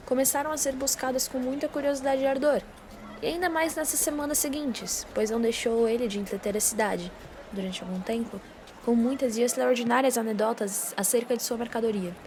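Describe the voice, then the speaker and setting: A woman's voice: a little high, fairly even intonation, brisk. A teenage girl with a Brazilian accent reading scripted narration for a literary radio drama. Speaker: student narrator. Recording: amateur recording with background noise.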